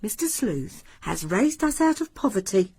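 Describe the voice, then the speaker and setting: A stern, practical landlady in a radio drama, brisk and scolding with very lively swings of pitch. Her voice is slightly husky. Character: sharp-tongued married landlady, practical and stern.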